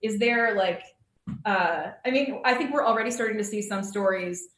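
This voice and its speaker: An American woman moderates a video panel in a casual, searching way, often thinking aloud. Her voice is bright and forward, at a middling pitch for a woman. The call carries a clearly roomy echo. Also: normal speed. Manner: informal, hesitant, thinking aloud. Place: indoor room, on Zoom call.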